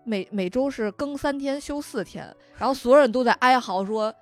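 A woman's voice: a little high, moderate pace. A woman co-hosting a casual podcast, retelling stories in a chatty way with joking asides, with music behind her. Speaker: podcast co-host. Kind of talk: casual chat, retelling drama plots. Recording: music under the voice. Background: background music.

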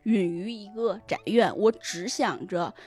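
A woman's voice: mid-range voice, a little slow, darkish tone, slightly rough. A woman guest in a casual podcast discussion, explaining things and often rephrasing her points, with music behind her. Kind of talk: casual discussion of novels and their adaptations. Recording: music under the voice. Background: background music.

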